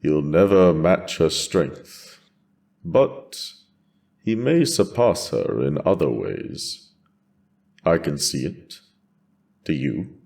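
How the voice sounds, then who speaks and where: A man voicing a wise, steady dragon in a fantasy audio drama, speaking in a measured, gently probing way. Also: a bass voice, creaky, full character voice. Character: wise, steady male dragon, bonded to his rider.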